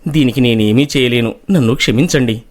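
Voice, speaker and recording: A man's voice: mid-range voice, brisk, lightly acted. A man playing a humble, respectful but firm village washerman in storybook dialogue. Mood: apologetic, firm.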